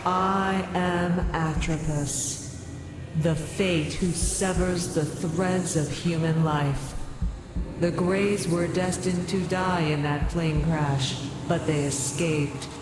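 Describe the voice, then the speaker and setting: Menacing fate entity, slow solemn delivery, huge reverb, archaic and commanding, noisy ambience. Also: mid-range voice, steady tone. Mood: solemn menace. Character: Atropos, solemn menacing fate entity who severs life. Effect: long cavernous reverb. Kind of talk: game cutscene acting. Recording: cavernous echo, noisy game ambience behind.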